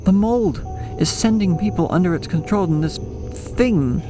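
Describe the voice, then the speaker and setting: A man's voice: high-pitched, expressive, a little slow, over music. A man playing an alarmed, blunt investigator in a horror audio drama, speaking urgently. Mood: grim realisation.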